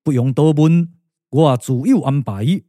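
A man voicing a grave older lord and strategist in a puppet radio drama, speaking slowly and with authority. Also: on the high side, warm tone, no echo, studio quality, pitched below the performer's own voice, lightly acted. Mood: grave, commanding. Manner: slow, deliberate, authoritative.

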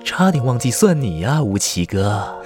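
A man's voice: medium pitch, very animated, a little slow, music in the background. An actor playing a vengeful, scheming man in a Mandarin audio drama, controlled and menacing in delivery. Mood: eerie gloating calm. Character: vengeful man, calm schemer turned gleeful killer.

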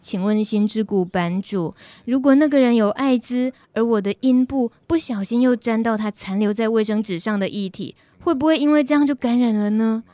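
A woman's voice: fairly high pitch, moderate pace, few pauses, dark tone, no echo, close to an everyday voice. A woman voicing a worried young shaved-ice seller in a radio skit, with a Taiwanese Mandarin accent, confiding anxiously. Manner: anxious, confiding first-person storytelling.

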